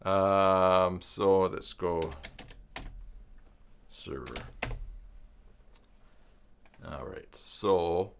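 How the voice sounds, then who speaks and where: A man hosting an informal screen-share tutorial, thinking aloud and reading out documentation in a halting, self-interrupting way. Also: low voice, vocal fry, slight background noise. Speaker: live stream host giving a web tutorial. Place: at a desk by a computer.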